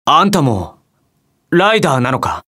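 A man's voice: mid-range voice, wildly swooping pitch, normal speed, sharp tone, husky, heavily acted. A man voicing a hot-blooded, earnest young armored superhero in a video game, with emphatic, impassioned delivery. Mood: earnest, resolute. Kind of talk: video game hero battle voice lines.

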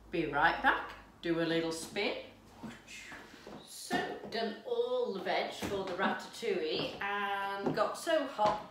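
A woman in her fifties chatting excitedly to camera while cooking in her home kitchen. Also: medium pitch. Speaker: lifestyle vlogger. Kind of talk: casual chat to camera. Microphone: camera's built-in mic from a few steps away. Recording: echoey room, distant mic, background noise.

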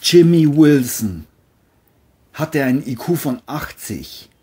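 Husky, slow-paced male narrator. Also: a little high, lively intonation, warm tone. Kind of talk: scripted crime story narration.

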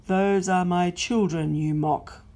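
A woman voicing God as a stern, loving father-king who speaks slowly and with great emphasis. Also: mid-range voice, vocal fry, warm tone, breathy edge, exaggerated voice. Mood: commanding. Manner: commanding, slow and emphatic.

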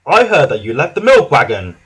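Man playing a stern, booming railway boss. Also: huge pitch swings, fairly quick, a bit bright, slightly rough, heavily acted.

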